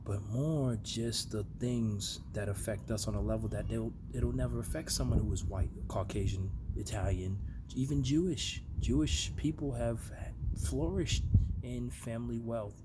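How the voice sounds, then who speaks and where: A man's voice: medium pitch, measured pace. An American man talking earnestly and reflectively to his viewers in a casual motivational monologue. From United States. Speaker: vlogger. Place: outdoor backyard. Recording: noisy amateur recording with ambient noise.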